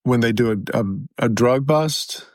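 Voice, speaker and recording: A male podcast co-host talks in a relaxed, conversational style with a mid-pitched voice. He explains his points through everyday examples and anecdotes, and the sound is dry, without room echo. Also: moderate pace. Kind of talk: casual conversational chat.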